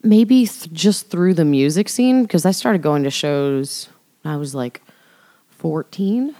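A woman's voice: low voice, lively intonation, slow, warm tone. A young woman, a band vocalist, talking casually as a podcast guest, loose and chatty with lots of fillers. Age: young adult. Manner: chatty, filler-heavy, laughs often.

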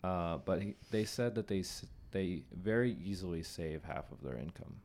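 A man's voice: low-pitched, expressive, moderate pace, a little mellow. A young man from California, talking casually and rambling with frequent restarts. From California, USA. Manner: rambling, restarts often, upbeat. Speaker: conversation guest. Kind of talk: casual chat.

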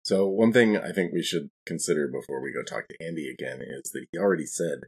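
A man with a husky voice, chatting casually as a player in a tabletop game. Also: extremely low pitch, fast, darkish tone. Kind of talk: casual in-character tabletop discussion.

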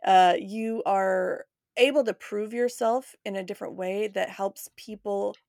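A woman's voice: medium pitch, steady tone, measured pace, creaky, darkish tone, no echo, studio quality. A woman hosting a casual conversational interview, agreeable and engaged as she thinks aloud. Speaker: podcast host.

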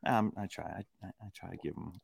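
A laid-back man in his thirties with a low, gravelly voice, chatting on a podcast. His pitch swings widely as he acts out quotes and keeps saying "dude." The sound is close and dry. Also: brisk, dry recording. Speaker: podcast co-host. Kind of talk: casual chat.

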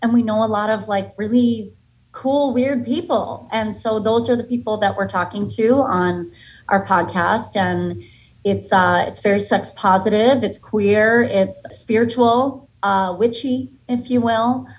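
A woman's voice: medium pitch, steady tone, a little slow, rough recording. An American woman in her thirties, a comedian being interviewed, talking casually and candidly in a chatty, playfully joking way. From United States, Massachusetts and Florida. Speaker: podcast guest, stand-up comedian.

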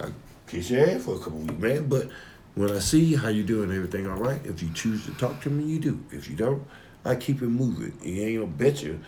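A man with a deep voice talking emphatically in a heated personal conversation. He swears freely, rambles, and slips into a preaching tone. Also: dark tone, a little hiss or hum.

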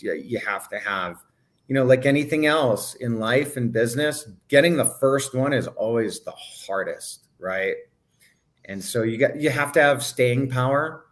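A middle-aged man from Hawaii, a guest in an interview, talking casually and rambling, with many fillers and restarts. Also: fairly low pitch.

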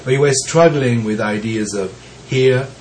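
A man who is recovering from bronchitis, so his voice sounds deeper than usual, gives a slow, reflective teaching talk with frequent pauses and the occasional soft laugh. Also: slight background noise, low-quality recording. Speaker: Zen teacher.

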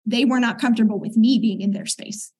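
A middle-aged woman speaks in a slightly husky, breathy voice that sits a little higher than most. She talks in an earnest, reflective way, clearing her throat now and then as she tells her story. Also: fairly even intonation, brisk, darkish tone. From United States. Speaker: guest. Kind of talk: conversational interview storytelling.

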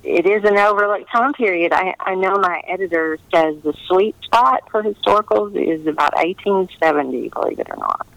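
A middle-aged woman giving earnest, conversational interview answers, pausing often to find her words over a remote line. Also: fairly low pitch, vocal fry, fairly bright tone, dry recording, poor audio. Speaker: interviewed historical novelist.

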